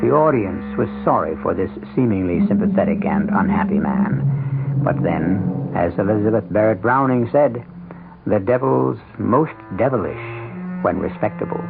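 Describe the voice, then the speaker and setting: A man hosting a radio drama, giving scripted narration in a measured, sardonic, theatrical way. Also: lowish voice, creaky. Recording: dull lo-fi radio broadcast with music.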